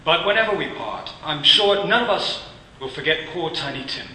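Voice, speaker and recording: An actor playing a gentle, grieving clerk and father who speaks softly and haltingly. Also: a little high, expressive, a little hiss or hum. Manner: soft, halting, comforting. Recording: roomy, distant from the mic.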